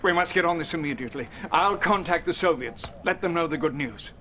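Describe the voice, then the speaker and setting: An actor playing a middle-aged American president who gives orders and sounds exasperated. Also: male voice, fairly high pitch, a little fast, sharp tone, a little hiss or hum. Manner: commanding, exasperated.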